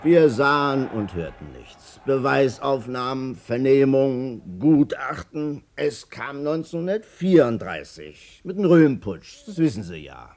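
Embittered, highly animated man. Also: a little slow, hardly any pauses, slight background noise. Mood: dramatic, emphatic. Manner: emphatic, rhetorical, bitter. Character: embittered, theatrical man recounting his ruinous lawsuit. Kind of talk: 1950s German radio drama narration.

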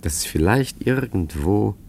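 A suave, scheming gentleman crook with a low voice. He speaks in an urbane, wry and faintly condescending way, as in a 1950s radio play. There is light noise on the old recording. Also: expressive, unhurried, vocal fry. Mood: wry, reflective. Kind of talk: 1950s German radio play acting and narration.

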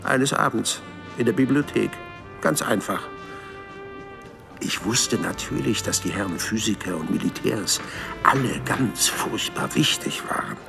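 A man's voice: on the low side, animated, unhurried, gravelly, over music. An actor playing a sly, unassuming Swedish man who recalls his exploits in a dry, understated way in an audio drama. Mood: wry. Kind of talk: audio drama character monologue.

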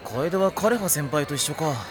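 A man's voice: a little high, animated, moderate pace, natural voice. A man voicing an easygoing, ordinary teenage schoolboy in an anime audio drama, speaking in plain casual language. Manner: plain, laid-back casual speech. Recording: music behind the voice.

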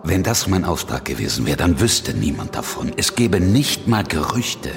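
A terse underworld killer, very deep and gravelly. He sounds coolly menacing in a reverberant radio-play space. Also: very animated, normal speed, heavily acted. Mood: cool menace. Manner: cool, terse. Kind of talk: German radio drama acting.

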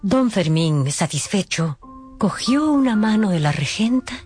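A woman's voice: mid-range voice, lively intonation, unhurried, darkish tone. A woman narrating a dramatised story, with wry, knowing asides to the listeners. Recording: faint music behind the voice.

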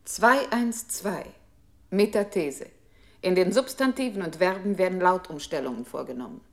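An actress playing a computer's impersonal control voice in a radio play, announcing commands and technical terms with formal precision. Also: female voice, fairly low pitch, moderate pace, natural voice. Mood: clinical, impersonal.

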